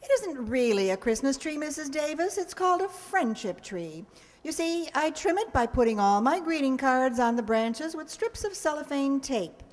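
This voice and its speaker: A woman playing a wry, patient, gently sarcastic schoolteacher in an old-time radio comedy. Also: sits high, expressive, moderate pace. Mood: wry, wistful. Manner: dry, wry delivery. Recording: very reverberant hall sound.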